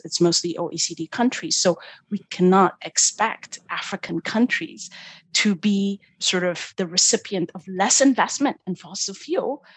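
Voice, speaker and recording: A middle-aged woman with a lowish voice talks earnestly and emphatically as an interview guest. The sound is close and dry, with no room around it. She keeps pulling the listener in with "you know" and "right?". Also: slightly rough, no room sound. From Ethiopia. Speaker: guest, development finance expert. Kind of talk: interview conversation.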